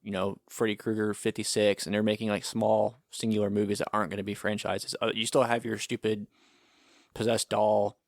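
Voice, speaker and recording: Gravelly, fast-talking man, blunt. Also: lowish voice, flat delivery, no echo, clean studio sound. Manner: fast, blunt, swears freely. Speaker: podcast co-host. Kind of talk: casual chat.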